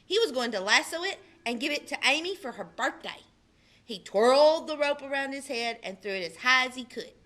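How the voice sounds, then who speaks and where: A woman, a librarian, reading a children's picture book aloud with expressive delivery. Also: sits high, a little fast. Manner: expressive, puts on character voices in quotes. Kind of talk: storybook read-aloud.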